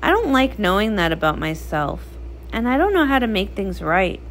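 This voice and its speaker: A woman's voice: medium pitch, sing-song, normal speed, a little hiss or hum, natural voice. Woman as a brooding, brusque sea queen. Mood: guarded, regretful. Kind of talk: comic read-along character acting.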